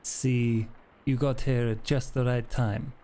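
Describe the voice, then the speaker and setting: A man's voice: medium pitch, level tone, slow, dark tone, a little hiss or hum, close to an everyday voice. A man playing a shady vampire drifter, eager and haggling, who stammers through his offers in a tabletop game. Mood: eager, bargaining.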